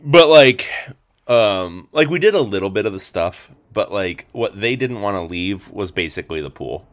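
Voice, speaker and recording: Low-ish guy with a bit of gravel in his voice, rambly podcast host, lots of um and like. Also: lively intonation, moderate pace. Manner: rambling, many fillers and restarts. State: slightly hoarse. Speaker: podcast host and sports reporter. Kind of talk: casual sports podcast chat.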